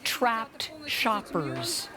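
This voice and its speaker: A woman working as a news anchor, presenting and putting questions in a grave, measured way. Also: on the high side, very animated. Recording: noticeable background noise.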